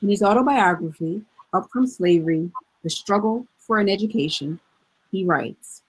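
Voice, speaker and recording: A woman's voice: medium pitch, lively intonation, moderate pace, breathy edge, low-quality recording. A middle-aged woman presenting scripted biographical narration over a video call. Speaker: presenter introducing readings. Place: room indoors, on a video call.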